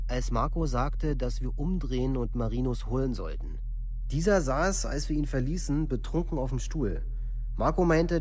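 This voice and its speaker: A young male suspect acted by a man gives a formal police statement in a documentary radio play. The voice is a little husky and middle-pitched. He stays sober and factual. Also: a little mellow, close to an everyday voice.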